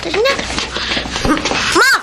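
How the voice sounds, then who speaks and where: A woman voicing an eager, hungry young boy in a radio drama, with a Filipino accent and an excitable, childlike manner. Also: measured pace, close to an everyday voice. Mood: excited. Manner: bright-eyed, excitable, childlike. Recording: very noisy radio drama soundscape.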